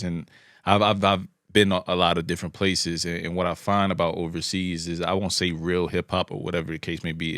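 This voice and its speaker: Deep-voiced relaxed male podcast host. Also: no echo, clean studio sound. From Brooklyn, USA. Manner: relaxed, rambling, many restarts. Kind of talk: casual interview.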